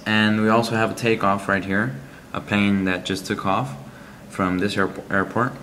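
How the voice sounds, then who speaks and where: A man reviewing a game app, walking through its screens in a halting, explanatory way with frequent ums. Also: lowish voice, fairly even intonation, a bit bright, a little hiss or hum.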